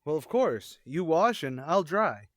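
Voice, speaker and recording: A man's voice: fairly high pitch, sing-song, a little slow, no echo, studio quality. An actor playing an earnest, naive male teacher, easily flustered and literal-minded, in an old-time radio comedy. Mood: cheerfully oblivious.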